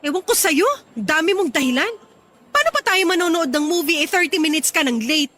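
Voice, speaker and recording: A woman's voice: very high voice, expressive, brisk, sharp tone, slight background noise, exaggerated voice. A woman playing an irritable, fed-up young girlfriend in a radio drama, sulky, scolding and sarcastic. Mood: irritated, scolding.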